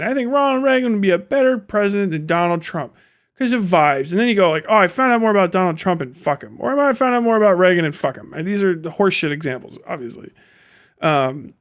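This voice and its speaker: A man hosting a livestream, reacting off the cuff in a casual, rambling, sweary way. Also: sits high. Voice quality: frequent swearing, rambling way of talking. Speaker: livestream host reacting to videos. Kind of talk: casual unscripted commentary.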